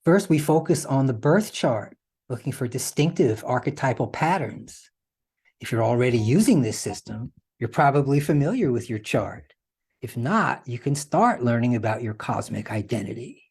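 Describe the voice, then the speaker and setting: A man's voice: mid-range voice, lively intonation, normal speed, darkish tone, slightly rough. A man hosting a podcast, explaining things in a casual, conversational way. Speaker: podcast host.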